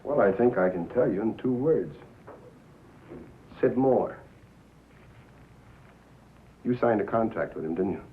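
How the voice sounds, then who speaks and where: Low-voiced, calculating executive. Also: male voice, expressive, narrow, tinny audio, slight background noise. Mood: scheming. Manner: deliberate, hesitant pauses, condescending. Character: smooth, calculating male network president, paternal and scheming. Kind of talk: 1950s American film drama acting. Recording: thin, boxy old film soundtrack.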